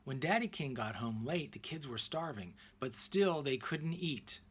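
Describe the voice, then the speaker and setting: A man reading a children's picture book aloud expressively, in deliberate phrases with emphatic pauses. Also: mid-range voice, animated, fairly bright tone, slightly rough. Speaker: read-aloud narrator.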